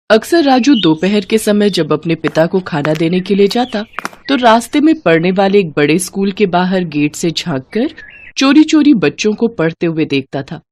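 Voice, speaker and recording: A woman's voice: on the low side, very animated, moderate pace, a little mellow, a little hiss or hum. A woman reading a scripted children's devotional story as its storyteller.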